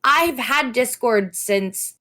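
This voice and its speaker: A woman whose voice is hoarse from screaming, a streamer chatting openly as an interview guest and laughing at herself. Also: fairly high pitch, lively intonation, slow, pushed and bright. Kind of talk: casual interview chat.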